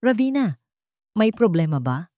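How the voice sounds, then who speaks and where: A woman's voice: mid-range voice, sing-song, measured pace, no echo, clean studio sound, pitched above the performer's own voice. A woman voicing a concerned, protective man, earnest. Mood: concerned, indignant. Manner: gentle, earnest.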